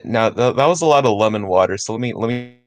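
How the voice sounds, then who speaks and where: Halting, low-voiced man in casual talk. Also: animated, dry recording. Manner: halting and repetitive, restarting words often. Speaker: participant giving script feedback. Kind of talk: casual spoken feedback.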